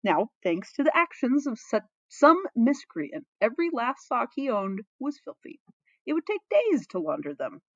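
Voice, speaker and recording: Woman, expressive story narrator, playfully dramatic, animated pitch, dry room, slightly muffled recording. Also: normal speed, no room sound. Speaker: fan fiction reader.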